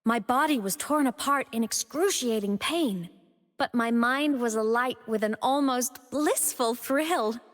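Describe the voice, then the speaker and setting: A woman voicing a young student turned digital ghost in a video game, cheerful yet wistful and devoted, with a soft, earnest delivery. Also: on the high side, unhurried, lightly acted. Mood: intense, resolute. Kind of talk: scripted video game character monologue. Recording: long reverb on the voice.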